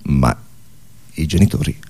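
A man performing on radio, announcing and narrating comic sketches in a deadpan style. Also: measured pace.

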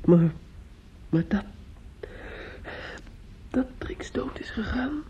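An actor playing a grieving, bitter and desperate young man in a radio drama, halting and often dropping into whispers. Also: very high-pitched, lively intonation, full character voice. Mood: pleading, hushed. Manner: halting, repetitive, drops into whispers. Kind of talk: radio drama monologue acting. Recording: dull, noisy old radio recording.

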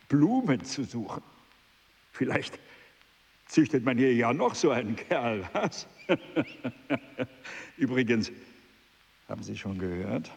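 An actor playing a genial, gossipy male duke in a radio play, with a gravelly voice. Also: mid-range voice, darkish tone. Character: aristocratic male duke, chatty patron. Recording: long hall reverb, faint music.

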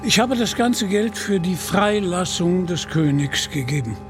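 A man's voice: high-pitched, animated, unhurried, music in the background. A man voicing a proud but indebted knight in an audio storybook, speaking formally and earnestly. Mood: desperate.

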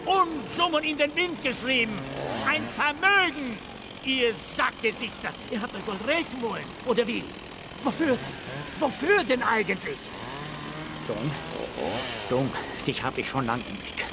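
A furious, bullying forest foreman in a radio play. His voice swings widely as he browbeats people, volatile and domineering, over loud engine-like noise. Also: very high-pitched, sing-song, unhurried, gravelly, heavily acted. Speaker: actor in a radio drama.

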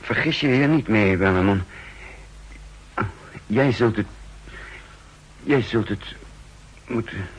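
An actor playing a weary counterspy who gravely recounts his own wounded mission in a 1960s radio drama. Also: fairly low pitch, slow pace, long pauses, poor audio. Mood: strained, businesslike. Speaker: narrator and lead actor. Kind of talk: 1960s Dutch radio drama acting and narration. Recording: hissy, humming 1960s radio recording.

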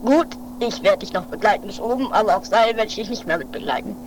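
A woman's voice: medium pitch, lively intonation, quick pace, natural voice. A woman playing a nervous, devoted companion of a daredevil in an improvised comic radio play, muttering dramatically and repeating words. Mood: bustling, solemn. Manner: dramatic, repetitive, agitated muttering. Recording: noisy home-made 1980s radio play recording.

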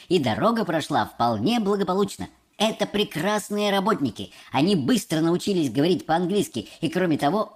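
A man voicing an excitable, theatrical, eccentric factory owner in an audiobook, polite and dramatic. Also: high-pitched, voice pushed up. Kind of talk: audiobook character voice.

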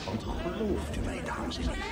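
An actor playing a theatrical, gushing master of ceremonies at a restaurant show in a radio comedy drama. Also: male voice, natural voice. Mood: grandly ominous. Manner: theatrical, gushing showman patter with drawn-out words. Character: flamboyant male compère of an end-of-universe restaurant show. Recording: roomy, distant stage sound with music behind.

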